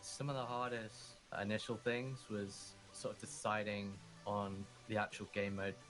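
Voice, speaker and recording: A man's voice: on the low side, a little slow. A man from England, chatting casually in interview soundbites with fillers. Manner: casual and relaxed, with fillers like "you know". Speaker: map-building team member. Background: music.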